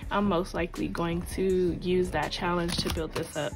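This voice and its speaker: A woman's voice: lowish voice, steady tone, normal speed, over music. A woman with a sore throat, casually chatting as she counts cash aloud for her budgeting videos at home. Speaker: budgeting channel host. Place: tabletop at home. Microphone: overhead camera, hands only.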